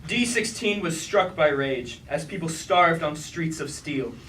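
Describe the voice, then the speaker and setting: A man as a bright, high-voiced dramatic chorus member. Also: expressive, a little hiss or hum. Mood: solemn, dramatic. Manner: declamatory, deliberate verse delivery. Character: dramatic male Greek tragedy chorus member proclaiming robot legend. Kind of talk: staged reading of verse tragedy. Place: indoor room at a live staged reading. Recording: echoey room from far off.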